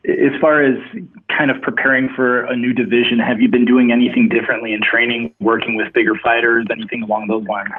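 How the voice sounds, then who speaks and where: A man's voice: medium pitch, level tone, brisk, slightly rough. A man working as a sports reporter, asking interview questions over a telephone. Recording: muffled, narrow phone line.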